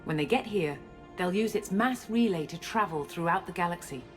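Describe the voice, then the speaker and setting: A woman playing a guarded, self-assured scientist and space operative in a video game, explaining things in a measured way. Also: fairly low pitch, lively intonation, lightly acted. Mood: composed, explaining. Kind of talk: video game cutscene acting. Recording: music under the dialogue.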